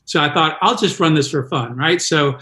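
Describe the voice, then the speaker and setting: Guy in his sixties rambling thru a story, a bit high voiced, echoey room. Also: moderate pace, pushed and bright. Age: 60s. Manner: rambling, self-deprecating, frequent restarts. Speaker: guest, triathlon coach. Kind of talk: casual storytelling in a podcast interview.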